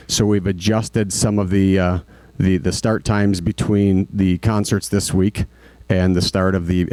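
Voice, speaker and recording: A man giving a school activities report at a board meeting, halting, with many fillers and restarts. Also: low-pitched, a little mellow, no echo. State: recovering from hoarseness.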